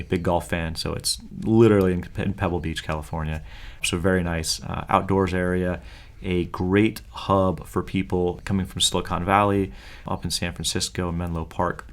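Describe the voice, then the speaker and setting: A man co-hosting a podcast, talking casually and earnestly with frequent fillers. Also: low-pitched. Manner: talkative, earnest, many fillers. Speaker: podcast co-host.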